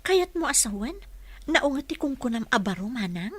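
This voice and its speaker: An actress playing a gentle, earnest young single mother looking for work in an audio drama, speaking politely. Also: on the high side, very animated, normal speed, dry recording. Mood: polite, curious.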